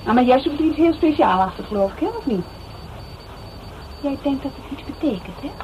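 A woman's voice: high voice, lively intonation, a little fast. An actress playing an anxious, loyal young woman in a Dutch radio crime drama, colloquial and questioning. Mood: questioning, teasing. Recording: noisy, narrow-band old radio drama recording.

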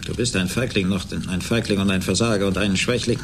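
A bitter middle-aged man out for revenge in a radio drama. His voice is deep, and he taunts and accuses with sneering, theatrical weight. There is clear noise behind him. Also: fairly even intonation, fast talker. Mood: scornful, goading. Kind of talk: German radio drama acting. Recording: noisy 1980s radio drama recording.

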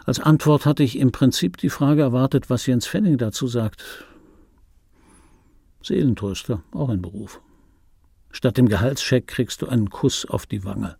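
An actor playing a wry, self-important male film dubbing artist who recounts his life in a radio play monologue, with dry irony and pointed, repeated phrasing, in a gravelly, husky voice. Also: mid-range voice, normal speed, dark tone, dry recording. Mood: dry, ironic. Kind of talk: intimate radio drama monologue.

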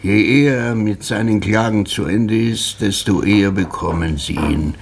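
A man's voice: lowish voice, normal speed, background noise, lightly acted. An actor playing a brooding, sorrowful man drinking in a tavern, speaking gloomily and bitterly in a 1960s radio drama. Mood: gloomy.

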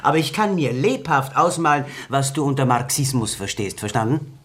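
Male actor, combative party rebel, medium pitch, biting sarcasm, bluntness, radio drama. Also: lively intonation, normal speed, a bit bright, a little hiss or hum, close to an everyday voice. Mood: sarcastic, challenging. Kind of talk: German-language radio drama acting.

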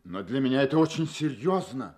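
A man's voice: mid-range voice, lively intonation, brisk, darkish tone, close to an everyday voice. A male actor plays a proud but worried monarch in an audio drama. His mid-range voice rises and falls widely. He speaks formally, with earnest, emphatic delivery. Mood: regretful, earnest. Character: anxious, proud royal man seeking help. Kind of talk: Russian audio drama acting.

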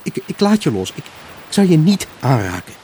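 An actor playing a lonely, grieving husband in an intimate radio drama monologue, brooding, halting and emotionally raw. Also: male voice, on the high side, big pitch swings, darkish tone, a little hiss or hum. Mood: desperate, pleading. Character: grieving, haunted husband, lonely, obsessive and pleading. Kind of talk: Dutch radio drama monologue, intimate first-person narration.

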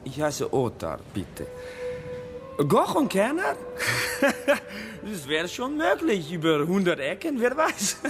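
Young Georgian footballer, high male voice, swooping pitch, cheeky, candid, radio drama. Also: wildly swooping pitch, takes it slowly, long pauses, music in the background, exaggerated voice. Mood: teasing, candid. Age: young adult.

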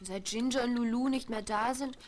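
A woman's voice: a little high, normal speed, close to an everyday voice. An actress playing a spirited young girl who loves her horse, in a seventies radio play on vinyl. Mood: earnest. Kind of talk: 1970s German radio play acting. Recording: crackly 1970s vinyl record.

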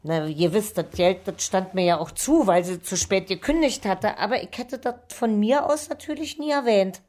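A woman's voice: mid-range voice, normal speed, darkish tone, lightly acted. An actress playing a sentimental, gossipy German landlady in a radio drama, effusive and given to sighing. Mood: wounded, confiding.

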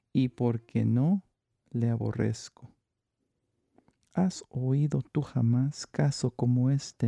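A man performing a theatrical verse monologue as a jealous, lovestruck noblewoman from classical comedy, in measured, declamatory phrases. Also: mid-range voice, creaky, warm tone, no echo. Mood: conflicted, jealous.

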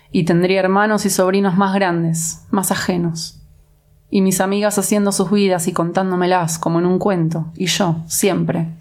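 A woman in a writing workshop introducing and reading her own untitled poem, slow and deliberate. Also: fairly low pitch, darkish tone.

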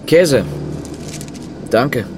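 A man's voice: mid-range voice, animated, very slow. An actor playing a guarded, weary policeman on the run in a naturalistic radio drama, speaking tersely. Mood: guarded, low-key. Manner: terse, reticent. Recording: clear vehicle and outdoor ambience noise.

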